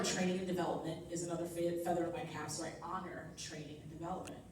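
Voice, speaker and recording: A woman's voice: lowish voice, background noise. A young woman from Long Island giving a speech at a political training event, earnest and upbeat, with frequent "um" and "like". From Long Island, New York. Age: young adult. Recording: echoey room, voice picked up from far off.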